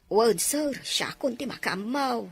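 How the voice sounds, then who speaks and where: A woman's voice: a little high, expressive, measured pace, husky, heavily acted. A woman voicing a timid, loyal middle-aged housemaid who answers her employers with deference in a radio drama. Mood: deferential. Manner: deferential and flustered, keeps saying sir and ma'am.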